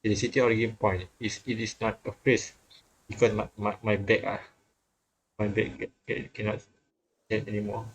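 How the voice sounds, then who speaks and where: A man reading visual novel text aloud with casual commentary, haltingly and often repeating phrases. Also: fairly low pitch, little pitch movement, husky, low-quality recording. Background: game music faintly behind.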